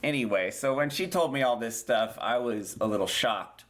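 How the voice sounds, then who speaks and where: A man's voice: medium pitch, moderate pace, a bit bright. Casual man in his thirties, loose rambling delivery, many restarts. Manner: rambling, frequent ums and restarts. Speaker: podcast co-host. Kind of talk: casual chat.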